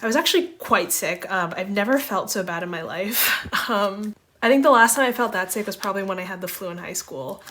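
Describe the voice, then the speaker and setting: A woman's voice: medium pitch, animated, fairly quick, few pauses, a bit bright, slight background noise. Chatty young woman, slightly congested. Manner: chatty, rambling, often says um and like. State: slightly congested, recovering from laryngitis. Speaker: photocard collecting vlogger. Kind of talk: casual chat while sorting.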